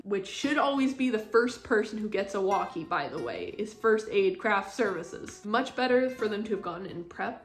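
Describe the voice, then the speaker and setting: A young woman giving casual, informal instruction to camera, explaining things in a chatty way. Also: mid-range voice. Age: young adult. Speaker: training instructor, assistant director. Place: living room, indoors. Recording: roomy indoor echo.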